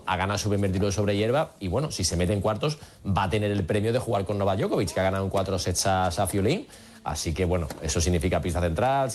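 A man's voice: on the low side, slight background noise. A man from giving unscripted tennis analysis as a radio correspondent.

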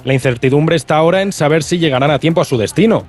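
A man's voice: a little high, very fast, darkish tone, faint music behind. A man with a Castilian Spanish accent giving a live news report.

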